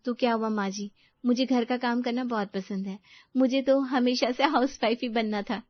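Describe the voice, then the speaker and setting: A woman's voice: on the high side, steady tone, moderate pace, no room sound, muffled recording, close to an everyday voice. A woman voicing a breezy, easily bored young housewife in story dialogue. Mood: cheerful.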